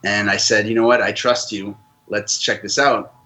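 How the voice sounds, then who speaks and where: A man's voice: fairly low pitch, little pitch movement, moderate pace, pushed and bright. A man who grew up in Canada, a podcast guest telling his own story in an earnest, open way. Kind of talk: casual interview talk.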